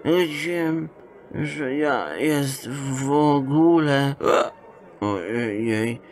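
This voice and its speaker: A man's voice: medium pitch, drawn-out words, darkish tone. An actor playing a drunk, muddled, suspicious rich nobleman in an audio drama, stammering and slurring as he mumbles. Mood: woozy, pining. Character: drunk wealthy nobleman, muddled, suspicious, pining for his lady.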